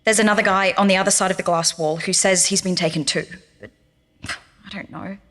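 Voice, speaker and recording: A woman playing a frightened, distrustful kidnap victim in an audio drama, pleading haltingly for help. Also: medium pitch, steady tone, fairly bright tone, natural voice. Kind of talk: audio drama recorded as voice message.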